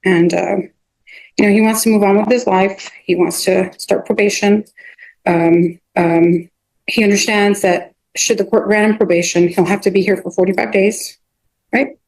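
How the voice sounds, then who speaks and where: A woman speaking as a defense attorney in a court hearing, halting and often repeating or restarting her phrases. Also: medium pitch, fairly even intonation, a little mellow, poor audio. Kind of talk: addressing a judge in a court hearing.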